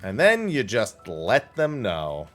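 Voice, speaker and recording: Animated, lower-voiced man streaming a game. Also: takes it slowly. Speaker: livestream gamer and host. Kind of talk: casual gameplay livestream commentary.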